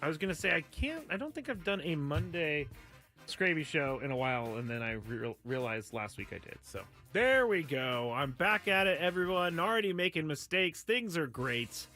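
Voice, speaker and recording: A man's voice: a little high, big pitch swings, normal speed, pushed and bright, soft background music. A man hosting a sports talk radio show, speaking in a casual, candid, self-deprecating monologue. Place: radio studio.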